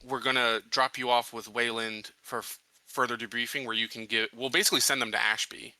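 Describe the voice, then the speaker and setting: Man, sharp bright voice, dry close sound, casual roleplaying game discussion, thinks aloud. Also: medium pitch, fairly even intonation, brisk, no echo, low-quality recording. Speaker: tabletop roleplaying game player.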